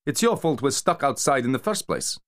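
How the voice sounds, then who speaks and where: A man voicing a sharp-tongued, sarcastic mafia enforcer who scolds his partner. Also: medium pitch, very animated, a little fast, voice pushed up, lightly acted. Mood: scornful.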